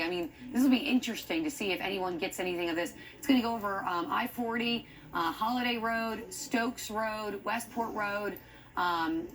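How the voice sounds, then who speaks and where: A woman's voice: mid-range voice, fairly bright tone, a little hiss or hum, rough recording. A woman with an American accent giving live severe weather commentary, urgent and thinking aloud as she reads the radar. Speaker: TV weather forecaster.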